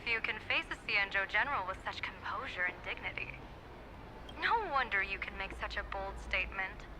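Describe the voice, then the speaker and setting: A woman's voice: mid-range voice, fairly quick, background noise, close to an everyday voice. A woman voicing a proud, teasing general and seer strategist in a video game, with a grand, formal way of speaking. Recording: music behind the voice.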